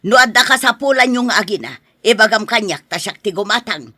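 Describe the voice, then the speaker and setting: A woman's voice: medium pitch, animated, fairly quick, sharp tone, no room sound, studio quality. A woman acting a stern older mother in a podcast drama, scolding and nagging her married daughter.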